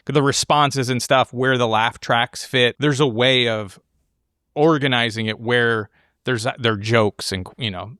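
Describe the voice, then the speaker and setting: A man co-hosting a casual film discussion, explaining his ideas in a halting way as he thinks aloud. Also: mid-range voice, sharp tone, smooth, no room sound, studio quality. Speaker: podcast co-host.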